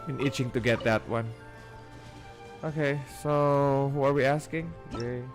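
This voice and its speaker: A man's voice: mid-range voice, steady tone, unhurried, soft background music. A man chatting casually as a game streamer, easygoing, with muttered asides. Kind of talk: casual livestream chat.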